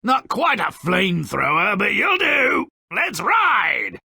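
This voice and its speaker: A man voicing a sardonic, grotesque little dwarf storyteller in a video game, gleefully malicious and melodramatic, with a raspy, gravelly voice and a sneering, mocking delivery. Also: high voice, huge pitch swings, slow, sharp tone, dry recording, shouting, studio quality, heavily acted. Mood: rallying, gleeful. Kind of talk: theatrical video game character narration.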